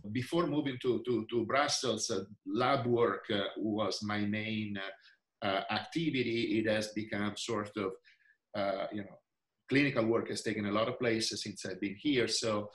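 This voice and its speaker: Older Italian-accented man with a low voice, halting over a video call in an echoey room. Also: fairly even intonation. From Italy. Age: 60s. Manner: halting, many fillers and restarts. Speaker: researcher and physician. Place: indoor room.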